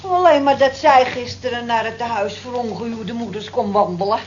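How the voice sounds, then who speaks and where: A woman's voice: on the high side, moderate pace, natural voice. An actress in an old radio play voicing a worldly-wise, teasing cleaning woman with a dry, scoffing, gossipy manner. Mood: cynical, gossipy. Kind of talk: vintage radio play acting. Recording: dull, hissy old radio play recording.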